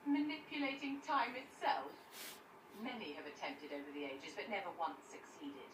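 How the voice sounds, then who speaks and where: A woman playing a haughty, sharp-tongued mage adviser, poised and disdainful, in a fantasy video game. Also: mid-range voice, big pitch swings, normal speed, natural voice. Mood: wary, skeptical. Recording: roomy, distant, noisy game capture.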